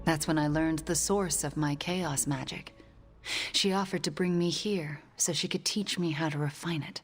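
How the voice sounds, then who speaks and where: A young sorceress speaks in a low, soft voice that turns breathy at the ends of phrases. She is brooding and earnest, weighed down by guilt yet determined. It is game cutscene drama with music underneath. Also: moderate pace. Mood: reflective. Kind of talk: video game cutscene acting.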